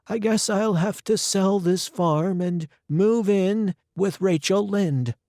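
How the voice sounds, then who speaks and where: A man voicing a kindly, worried older woman in a storybook reading, with a soft, anxious, motherly manner. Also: high-pitched, takes it slowly, no room sound, clean studio sound, pitched above the performer's own voice.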